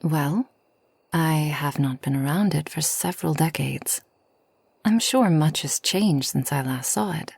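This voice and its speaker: A woman playing a kind, long-lived forest witch in a soft ASMR roleplay, gentle and unhurried, often near a whisper. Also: low-pitched, vocal fry, breathy edge, no room sound, full character voice. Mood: wistful, gentle. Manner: gentle, unhurried, soothing, slightly formal speech.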